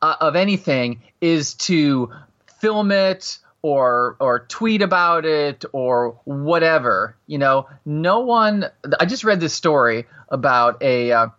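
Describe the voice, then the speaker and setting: Forties male guest, bright forceful tone, rambling storyteller who keeps restarting, dull lo-fi line. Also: fairly high pitch, lively intonation, slow pace. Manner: rambling, restarts a lot, gets loud. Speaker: podcast guest, host of another show. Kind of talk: casual podcast chat, storytelling.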